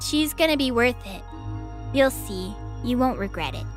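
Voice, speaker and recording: A woman's voice: fairly high pitch, lively intonation, moderate pace, faint music behind, close to an everyday voice. An actress playing a brash, defiant female fighter in an audio drama, blunt and cocky in manner. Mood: defiant, reassuring.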